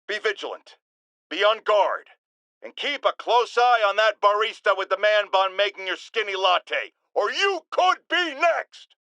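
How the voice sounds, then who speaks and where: A high-pitched, blustering radio ranter. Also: male voice, very animated, a little slow, bright, forceful tone, dry recording, lo-fi sound, exaggerated voice. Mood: alarmed, dramatic. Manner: pompous, sarcastic, emphatic, theatrical. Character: blustering middle-aged male radio host, pompous and outraged. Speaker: talk radio host. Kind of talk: ranting radio monologue.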